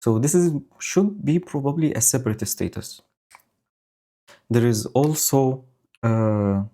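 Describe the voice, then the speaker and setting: A man streaming live, explaining his code slowly and thinking aloud with many fillers. He has a low voice and is speaking into a home microphone setup with a noise gate. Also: mellow. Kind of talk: casual live-coding commentary. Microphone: new home audio setup with noise gate.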